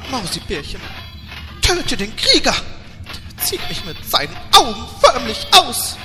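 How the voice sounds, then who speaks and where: A woman's voice: on the high side, wildly swooping pitch, measured pace, over music. A woman playing a vain, seductive dwarf princess in a parody audio drama. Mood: indignant, cooing.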